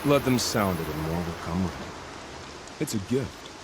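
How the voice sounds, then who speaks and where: An actor playing a cocky ex-soldier mercenary in a video game, who savors killing for sport in a smug, theatrical way. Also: male voice, sits low, lively intonation, measured pace, noisy background. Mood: sly, relishing. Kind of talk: video game character acting.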